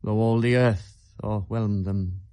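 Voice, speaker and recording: Brooding prince, grave shakespeare soliloquy on radio, super slow, dark voice, very dry sound. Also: male voice, lowish voice, fairly even intonation, smooth, no echo. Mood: grim resolve. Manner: brooding, deliberate.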